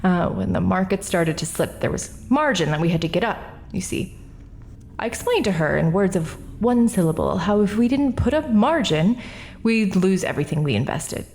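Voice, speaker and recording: A woman's voice: medium pitch, lively intonation, normal speed, darkish tone, natural voice. A woman playing a brash witness at an inquest, flippant and defensive in an audio drama. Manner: defensive, flippant, laughs things off. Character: brash, self-justifying woman on the stand.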